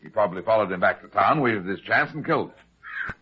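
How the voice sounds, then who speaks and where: A man playing a heavyset, shrewd private detective in an old-time radio drama, brisk and commanding as he explains things. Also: on the low side, lively intonation, husky. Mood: assured, explaining. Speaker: lead actor. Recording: dull, lo-fi old radio recording.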